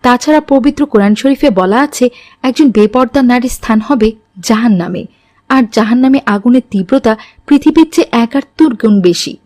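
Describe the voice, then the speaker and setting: A woman's voice: fairly high pitch, brisk, a little mellow, no echo, lightly acted. A pious middle-aged mother from a moral-tale cartoon, acted by a woman. She is strict but caring, and delivers her advice like a lesson, preachy and admonishing. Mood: stern, pleading. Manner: preachy, instructive.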